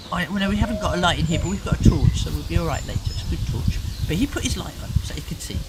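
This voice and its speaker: Animated woman telling a children's bedtime story. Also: lowish voice, heavy background noise. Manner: animated, chatty asides to the listener. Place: in a tent.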